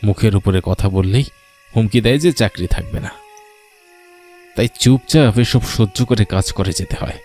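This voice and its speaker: A man's voice: on the low side, animated, normal speed, long pauses, a little mellow, faint music behind, close to an everyday voice. A man voicing a put-upon but dutiful office worker and grandson in a story cartoon. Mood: weary grumbling. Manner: grumbling in monologue, affectionate to elder. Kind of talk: Bengali cartoon voice acting.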